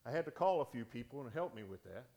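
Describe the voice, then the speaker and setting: A man, a deacon, preaching a sermon in a church with a big voice that swings from loud to hushed, speaking into a microphone he wears. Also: mid-range voice, animated, fast talker. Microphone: wearing a microphone.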